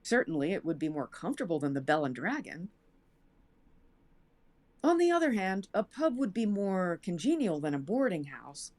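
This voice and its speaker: Lower-pitched American woman reading a story. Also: sing-song, normal speed, darkish tone. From United States. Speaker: show cast member reading a story aloud. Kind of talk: storytelling, reading fiction aloud.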